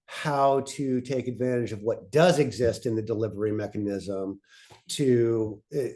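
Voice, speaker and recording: A man speaking informally as a panelist, thoughtful and halting, often breaking off to rephrase his point. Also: mid-range voice, dark tone. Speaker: panelist presenting on podcast pitching.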